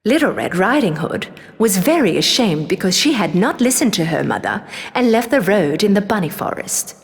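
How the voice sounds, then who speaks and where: A woman's voice: mid-range voice, sing-song, moderate pace. A woman narrating a children's fairy tale as a scripted story. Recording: long reverb, clear music behind. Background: music.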